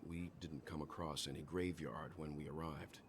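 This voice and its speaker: Deep, composed gentleman. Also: steady tone, heavy background noise. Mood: thoughtful. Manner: polite, measured, inquisitive. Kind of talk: English video game voice acting. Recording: game music behind the voice.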